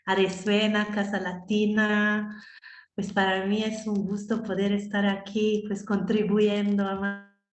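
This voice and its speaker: A woman's voice: mid-range voice, flat delivery, measured pace. Reflective woman on an echoey video call. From Italy. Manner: thoughtful, many "pues" and "¿no?" fillers. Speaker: guest, nonviolent communication trainer. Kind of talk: reflective interview answers.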